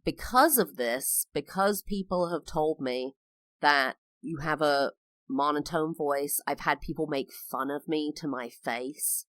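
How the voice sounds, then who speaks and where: A woman's voice: deep voice, slow pace, a bit bright, dry recording. A woman in her thirties with an English accent touched by American, hosting a personal podcast monologue with careful enunciation and a sing-song lilt. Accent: English with a slight American tinge. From United Kingdom. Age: 30s. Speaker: podcast host.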